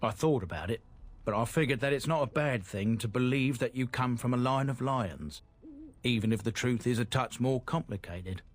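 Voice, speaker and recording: A man's voice: medium pitch, normal speed, close to an everyday voice. An actor playing a friendly, earnest merchant who is proud of his family, in a fantasy video game, with music behind him.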